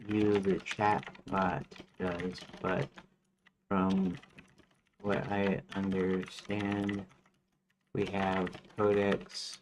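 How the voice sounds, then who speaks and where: Rambling low-voiced man streaming gamedev. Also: very slow, pauses often, slight background noise. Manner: rambling, hesitant, many fillers and restarts; reads chatbot feature lists aloud in a flat mutter. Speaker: game developer streaming his work. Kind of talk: casual thinking-aloud stream chat.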